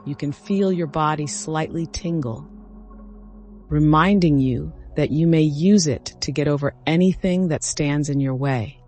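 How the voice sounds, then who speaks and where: Higher-voiced man guiding a meditation. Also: a little mellow. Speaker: meditation guide. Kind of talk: scripted guided meditation narration. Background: background music.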